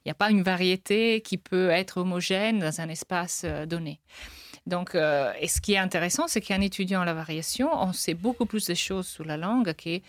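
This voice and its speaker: A woman linguist gives interview answers in a slightly low voice. She talks in halting bursts, restarting phrases and breaking into small laughs. The sound is dry and close. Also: no room sound. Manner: hesitant with restarts and light laughs. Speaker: linguist guest.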